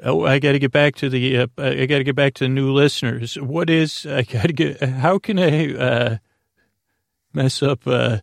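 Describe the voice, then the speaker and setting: A man with a creaky voice hosts a sleep podcast in a lulling, meandering monologue, often halting and interrupting himself with self-deprecating tangents. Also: medium pitch, steady tone, dry recording, clean studio sound. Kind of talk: rambling bedtime monologue.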